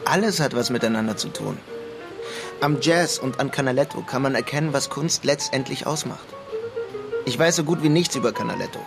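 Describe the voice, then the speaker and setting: A man's voice: mid-range voice, a little fast, gravelly, close to an everyday voice. Radio drama lead: man playing young melancholy German émigré painter, mid-range, understated, wry, music underneath. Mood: reflective. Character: melancholy young German emigrant painter adrift in New York. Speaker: protagonist and narrator of a radio crime drama. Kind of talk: German radio drama, introspective narration and dialogue.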